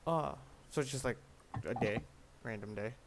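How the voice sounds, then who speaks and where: A man's voice: mid-range voice, normal speed, a crowd in the background. A man chatting casually, asking tentative questions that tend to trail off. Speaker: study group participant asking questions. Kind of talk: casual chat. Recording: faint background noise and clicks.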